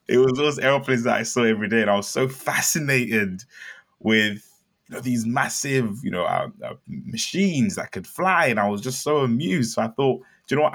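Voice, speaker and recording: A young man from Zambia, a company founder telling his story in a chatty interview, with lots of fillers and bursts of excitement. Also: low-pitched, gravelly. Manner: chatty, many fillers, animated when excited. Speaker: startup founder guest.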